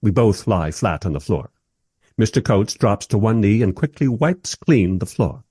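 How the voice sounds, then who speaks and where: A man's voice: fairly low pitch, expressive, unhurried, dark tone, husky, no room sound, clean studio sound. An actor playing a wry modern filmmaker who narrates his own story in a scripted radio play. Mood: wry, observant.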